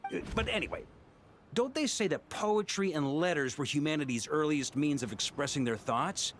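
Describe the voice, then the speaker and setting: An actor playing an easygoing veteran knight leader in a video game, musing and breezy with a theatrical touch. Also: male voice, a little high, expressive, normal speed, a bit bright, slight background noise.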